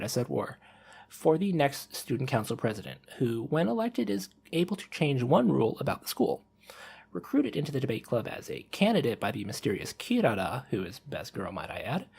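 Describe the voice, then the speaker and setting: Male podcast co-host, animated intonation, gravelly and slightly husky, playful reviewer delivery. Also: mid-range voice. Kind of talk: casual podcast talk and prepared review.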